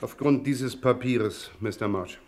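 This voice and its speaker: A man's voice: mid-range voice, close to an everyday voice. Actor playing a firm, formal male police inspector. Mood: firm, official. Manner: brisk, official, polite but insistent. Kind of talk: German radio crime drama acting.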